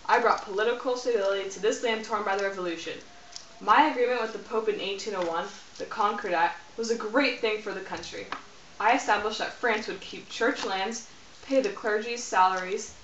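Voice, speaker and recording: A woman's voice: mid-range voice, fairly quick, a bit bright, reverberant, far from the mic, close to an everyday voice. A woman playing a proud, commanding male emperor in an amateur school puppet show. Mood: imperious. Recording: dull, faintly noisy amateur recording.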